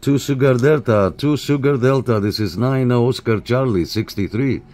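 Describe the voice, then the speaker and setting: A man's voice: mid-range voice, normal speed. Man on CB radio over static. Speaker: CB radio operator and channel host. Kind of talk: radio contact chat with call signs. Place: indoor room by CB radio sets. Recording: clear background static and radio hiss.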